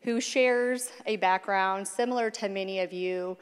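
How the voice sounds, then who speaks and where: A woman giving a measured, formal introduction of a guest speaker on a school auditorium stage, holding a handheld mic. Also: medium pitch, smooth. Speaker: event host introducing the speaker. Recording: echoey auditorium reverb.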